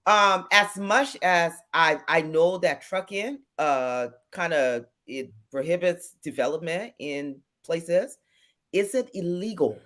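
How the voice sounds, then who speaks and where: A man speaks on a talk panel as a political candidate. His voice sits a little high. He pauses often and fills gaps with thoughtful hesitations. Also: pushed and bright. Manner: hesitant, many fillers, thoughtful. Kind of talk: panel discussion.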